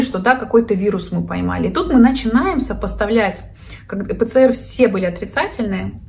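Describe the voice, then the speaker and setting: A woman in her thirties talks straight to the camera in a chatty, expressive way. She sits in her living room and is recorded from desk distance, moving her hands as she talks. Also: medium pitch. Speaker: vlogger, psychologist. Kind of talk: casual chat to camera.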